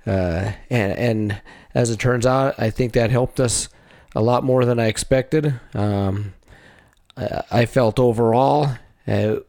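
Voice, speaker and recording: A man, a wrestling coach, talking over the season in a casual, halting way, full of fillers and restarts. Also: medium pitch, creaky, a little mellow. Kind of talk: casual chat.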